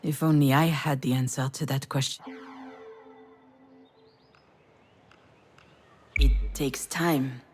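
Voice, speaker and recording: A woman's voice: a bass voice, fairly even intonation, moderate pace, slight background noise. An actress playing a troubled, introspective woman in a narrative video game, speaking earnestly in dialogue and inner monologue. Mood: concerned, sad. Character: troubled woman haunted by crash, anxious, introspective. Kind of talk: acted visual novel dialogue and inner narration. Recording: music behind the voice.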